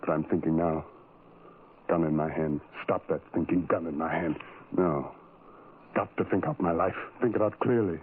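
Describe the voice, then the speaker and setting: A man's voice: sits low, normal speed, natural voice. An actor playing a big, lonely, tormented man in an old radio drama, speaking in brooding, repetitive fragments. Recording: tinny old radio recording, faint noise.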